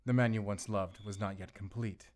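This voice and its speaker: A man's voice: on the low side, fairly even intonation, moderate pace, darkish tone, lightly acted. A man voicing a murderous, misanthropic werewolf's journal as a solemn, ominous dramatic monologue in an audio drama. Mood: ominous, resolute. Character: male murderous werewolf diarist, brooding, fanatical, misanthropic.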